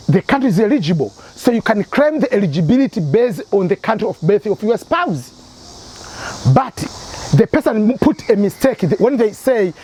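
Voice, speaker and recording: Man, high voice, very animated pitch, repeats points with examples, advice host. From Tanzania. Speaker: immigration advice channel host. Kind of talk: explanatory advice talk.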